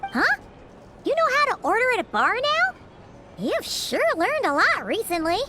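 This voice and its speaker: A woman voicing a tiny, excitable, childlike fairy companion in a video game. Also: extremely high pitch, moderate pace, over loud music, full character voice. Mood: excited.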